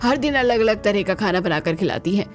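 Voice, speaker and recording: A woman acts a proud, demanding middle-aged mother-in-law in a cartoon. She speaks firmly and emphatically at a middling pitch, with music underneath. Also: animated, brisk, lightly acted. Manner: firm, questioning, emphatic.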